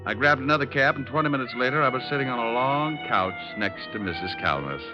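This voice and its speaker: An actor playing a wisecracking, unflappable tough private detective with a wry, teasing manner, in mid-century radio drama style. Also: male voice, mid-range voice, expressive, a little fast, lightly acted. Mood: hardboiled narrating. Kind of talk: 1940s-50s radio detective acting and narration. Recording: muffled old radio recording, music behind.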